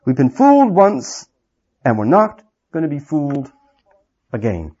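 A man voicing a gruff, scornful male dwarf in a read-aloud story, talking coarsely and with contempt. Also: a little high, huge pitch swings, unhurried, dark tone, dull audio, heavily acted. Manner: scornful, coarse, common-sounding.

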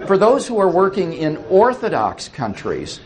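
A man's voice: high-pitched, huge pitch swings, normal speed, crowd noise. A man answering audience questions after a talk, thoughtful and explanatory. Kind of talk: lecture Q&A answers.

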